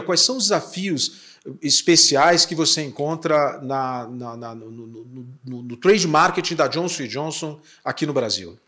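A man's voice: a little high, pushed and bright. A man from Curitiba, with a Curitiba accent mixed with São Paulo, hosting a business podcast and asking his guest questions with friendly interjections. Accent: Curitiba Portuguese softened by São Paulo. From Curitiba, Brazil. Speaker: podcast host.

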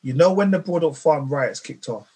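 A man in a casual group chat, animated and slangy, often saying "bruv". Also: fairly high pitch, moderate pace, slightly rough.

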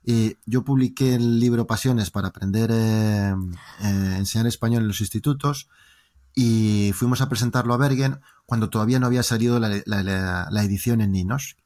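A man from Spain with a Spanish accent, speaking as an interview guest in a relaxed conversation and telling lively, joking stories in long winding turns. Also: fairly low pitch, moderate pace.

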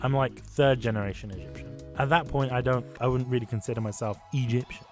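A man's voice: mid-range voice, fairly even intonation, quick pace, faint music behind. A young man from Britain hosting a casual podcast, rambling and joking with laughs as he talks. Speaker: podcast host.

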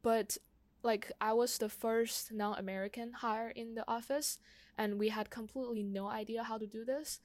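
A young woman speaking casually and personally, pausing often and peppering her sentences with "like". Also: fairly high pitch, fairly even intonation, darkish tone, no echo. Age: young adult. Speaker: conversation host sharing own experience.

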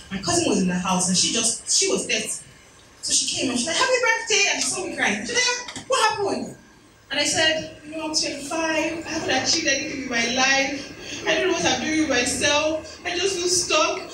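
A woman's voice: high voice, lively intonation, normal speed. A woman in her thirties giving a motivational talk at an event, telling personal stories with chatty, self-deprecating asides. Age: 30s. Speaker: speaker. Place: event hall. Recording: echoey room from far off, noisy.

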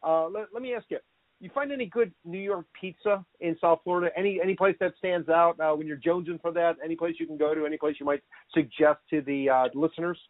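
A man hosting a podcast, asking questions with energetic stammering. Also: a little high, fast, no echo. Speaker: podcast host. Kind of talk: casual interview. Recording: dry tinny phone-like line.

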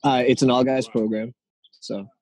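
A man in his late twenties, a guest talking casually and haltingly, with lots of fillers and restarts. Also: mid-range voice, flat delivery, darkish tone. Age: 20s. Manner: hesitant, many fillers and restarts. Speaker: interview guest. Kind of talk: casual conversation.

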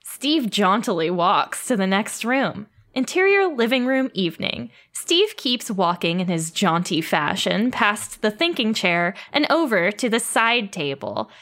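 A woman's voice: mid-range voice, unhurried, hardly any pauses. Woman reading stage directions, wry. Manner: wry, deadpan narration. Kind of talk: comedic table read of stage directions.

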